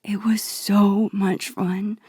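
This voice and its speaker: A woman playing a soft-spoken, introspective teenage girl in a video game, wistful and hesitant, with a whispery voice. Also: medium pitch, little pitch movement, slow pace, slightly rough, no room sound. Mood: nostalgic. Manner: hesitant, gentle, musing to herself. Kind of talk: naturalistic video game dialogue and inner monologue.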